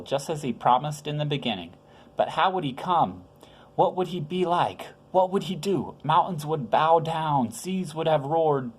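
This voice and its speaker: A woman reading a children's Bible story aloud, with informal, conversational asides in simple words. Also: deep voice. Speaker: storybook reader.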